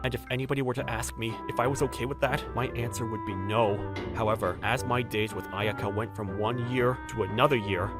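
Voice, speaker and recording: A man's voice: on the low side, natural voice. An actor playing a devoted, sincere middle-aged single father in a manga dub, narrating and speaking in character. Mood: weary, reflective. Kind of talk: scripted anime-style dub narration and dialogue. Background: music.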